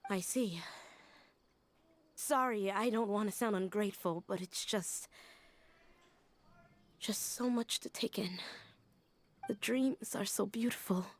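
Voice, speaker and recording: A woman's voice: medium pitch, takes it slowly, long pauses. An actress voicing a young woman in a video game who is earnest and hurt. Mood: grieving. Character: young woman grieving a lost teacher.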